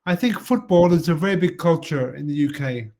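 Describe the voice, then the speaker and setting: Higher-pitched polite man from London. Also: a little fast. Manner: polite, steady, asks guiding questions. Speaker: English conversation partner. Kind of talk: casual conversation.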